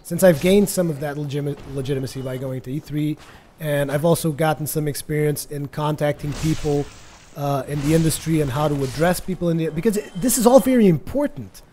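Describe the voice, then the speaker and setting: Higher-pitched man, mellow, chatty. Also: brisk, slight background noise. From Portugal. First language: Portuguese. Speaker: gaming video host. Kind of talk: casual chatty gameplay commentary.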